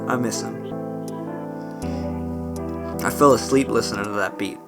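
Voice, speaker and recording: Earnest gravel-voiced man over music. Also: on the low side, unhurried. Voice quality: gravelly from a strained voice. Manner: earnest, heartfelt, rambling with restarts. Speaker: rapper. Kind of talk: spoken outro over a beat. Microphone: cheap home mic. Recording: spoken over clear background music. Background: guitar music bed.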